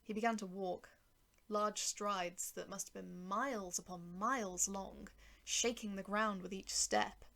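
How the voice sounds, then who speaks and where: Woman narrating a story, creaky voice. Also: mid-range voice. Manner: expressive, deliberate storytelling with dramatic pauses. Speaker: author narrating own short story. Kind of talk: dramatic first-person story narration.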